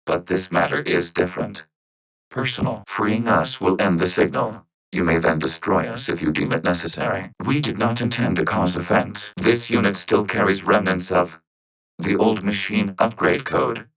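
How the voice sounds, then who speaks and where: An actor playing a calm, logical synthetic robot in a video game, speaking in measured, formal phrases through robotic voice processing. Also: male voice, low voice, gravelly, no echo, poor audio. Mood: earnest, subdued. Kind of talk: video game character dialogue.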